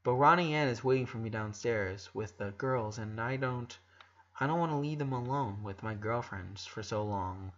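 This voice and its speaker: A man voicing a caring eleven-year-old boy, speaking earnestly and haltingly. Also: medium pitch, a bit bright, lo-fi sound, pitched below the performer's own voice, close to an everyday voice. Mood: gently coaxing. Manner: earnest, halting, repeats words.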